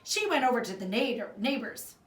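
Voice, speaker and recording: A woman's voice: a little high, very animated, brisk. A woman voicing a jumpy, awkward young girl in a storybook, chirping over-eagerly. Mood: nervous, over-eager. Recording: roomy echo, distant mic.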